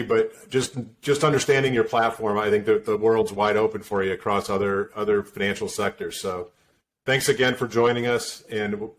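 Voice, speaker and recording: A man hosting a podcast, relaxed and halting, often repeating words as he talks. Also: lowish voice. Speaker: podcast host. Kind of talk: casual interview chat.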